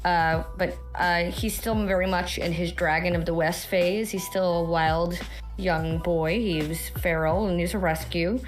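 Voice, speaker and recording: Hoarse American woman chatting over music. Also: on the low side, measured pace. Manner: animated rambling, swears freely. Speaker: podcast guest; actor. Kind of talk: casual chat.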